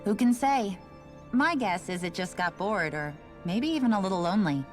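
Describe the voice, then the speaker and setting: A woman's voice: mid-range voice, animated, normal speed, close to an everyday voice. A woman playing an offhand station worker. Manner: casual, offhand, matter-of-fact. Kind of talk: video game voice acting. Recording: game audio with faint music.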